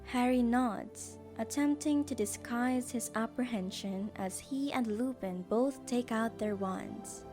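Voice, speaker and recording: A woman's voice: a little high, slow, few pauses, music in the background. A woman narrating an audio drama in a scripted storybook style.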